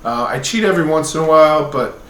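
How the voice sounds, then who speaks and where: A man's voice: fairly high pitch, animated, quick pace, fairly bright tone. A man in his late forties giving a casual personal update to camera as a vlogger, speaking candidly and a little hesitantly. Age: late 40s. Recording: roomy echo with background noise.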